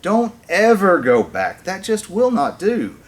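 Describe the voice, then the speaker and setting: A man's voice: sits high, wildly swooping pitch, unhurried, voice pushed up, full character voice. A man reading a storybook as an earnest, caring little girl, speaking in an emphatic, pleading way. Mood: firm, earnest.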